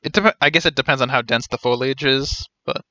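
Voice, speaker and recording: A man's voice: mid-range voice, brisk, sharp tone, dry recording. A man in his thirties giving casual live game commentary as a streamer, with wry, joking asides. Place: indoor room, webcam stream. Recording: dull lo-fi sound, music behind. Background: game music.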